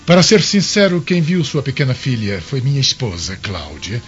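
Male actor as sly Roman governor, mid-pitched, swooping intonation, evasive courtesy, radio drama, dull recording. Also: very animated, moderate pace, close to an everyday voice. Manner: theatrical, hesitant, smooth-talking. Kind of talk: Brazilian radio drama acting. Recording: dull band-limited radio sound, faint music.

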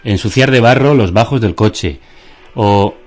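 An actor playing a deadpan, pedantic philosopher of the absurd in a radio theatre dialogue. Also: male voice, lowish voice, unhurried, natural voice. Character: deadpan absurd philosopher musing over news headlines.

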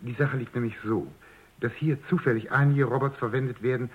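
A man's voice: medium pitch, steady tone, fairly quick, breathy edge, phone-quality sound, lightly acted. A man playing a diplomatic, evasive company executive who placates and obliges in a German radio drama, on a thin radio recording. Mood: reluctant, secretive.

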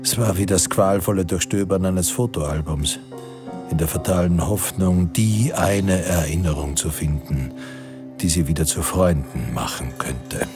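An actor as a grave storyteller narrating a wartime radio drama in a measured, literary manner. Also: male voice, sits low. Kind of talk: scripted third-person radio drama narration. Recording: studio radio play with music underneath.

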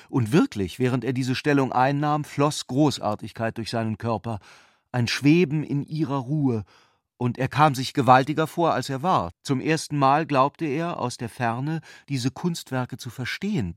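A man reading literary narration for a radio play in a measured, deliberate and sober manner. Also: medium pitch, no room sound, clean studio sound.

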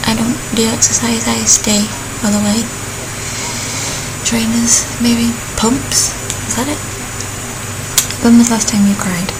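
A woman's voice: a little high, fairly even intonation, measured pace, background noise. Chatty young woman, posh Yorkshire accent. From South Yorkshire, England. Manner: chatty, self-mocking, lots of ums. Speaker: vlogger. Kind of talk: casual Q&A vlog.

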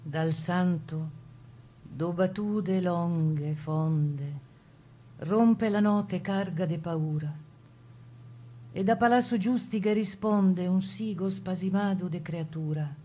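A woman performing as the narrator of a partisan ballad, reciting dialect verse with a Veneto accent in a solemn, declamatory, husky voice. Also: on the low side, takes it slowly, mellow. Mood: ominous, solemn. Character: poet-narrator of partisan ballad, voicing captor and defiant prisoner. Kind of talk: dramatic recitation of dialect poetry.

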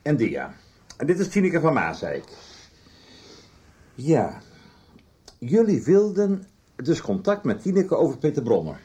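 An actor playing a stern, controlling male boss in a radio soap, questioning people in a measured, reproachful, authoritative way. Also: on the high side, wildly swooping pitch, dark tone. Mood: businesslike, probing. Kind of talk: 1990s Dutch radio soap acting.

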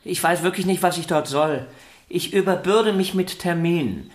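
An actor playing a vain, stubborn star violinist in a German radio play, arguing in a self-important, theatrical way. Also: male voice, high voice, normal speed, close to an everyday voice. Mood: self-pitying. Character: vain, stubborn, celebrated male violinist arguing with his sister. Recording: roomy indoor echo.

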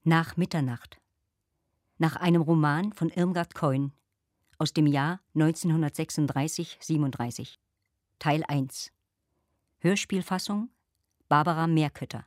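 A woman's voice: low-pitched, unhurried, long pauses, a little mellow, dry recording, studio quality. A woman announcer reading the scripted titles of a radio drama.

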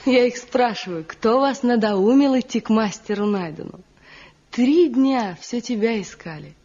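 A gentle young wife of a village craftsman in a vintage radio play. Her voice is dark, soft and breathy, with a wistful tenderness. The old recording is dull and muffled. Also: on the high side, unhurried. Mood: earnest. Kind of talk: stage-trained radio drama acting.

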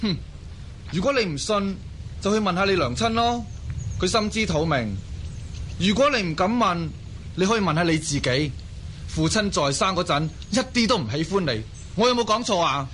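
Scornful young Cantonese rebel brother, high-pitched, defiant, audio drama, slight noise. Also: male voice, animated, normal speed, a bit bright. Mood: defiant, taunting.

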